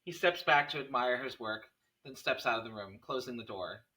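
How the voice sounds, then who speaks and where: A man narrates a screenplay at a table read. His mid-range voice is bright and crisp in tone, picked up a little way from the microphone. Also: medium pitch, moderate pace, far from the mic. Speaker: narrator reading stage directions.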